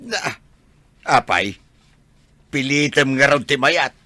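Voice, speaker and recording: An actor playing an indignant, protective older father in an Ilocano radio drama, with a husky voice and an Ilocano accent. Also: mid-range voice, animated, slow, bright, forceful tone, dry recording.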